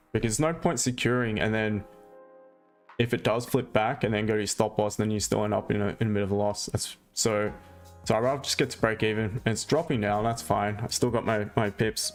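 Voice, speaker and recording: A man hosting a live trading stream, chatting casually and answering viewer questions as he explains his trades. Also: fairly low pitch.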